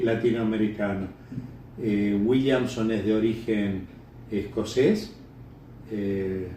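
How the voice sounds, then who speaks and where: A middle-aged Uruguayan man answering interview questions off the cuff, halting and repeating words as he thinks. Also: fairly low pitch, mellow. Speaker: interviewee, bird breeder. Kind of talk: unscripted interview answers, reminiscing. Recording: echoey room, distant mic, faint noise.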